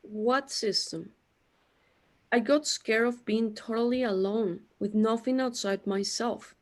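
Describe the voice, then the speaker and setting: A woman playing a lonely, self-pitying immortal creator god in a scripted audio drama, speaking in a plaintive, deliberate way. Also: a little high, a little mellow.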